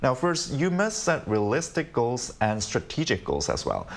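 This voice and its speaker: A man on a studio panel who works as an interpreter, giving step-by-step advice with small pauses. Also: medium pitch, wildly swooping pitch.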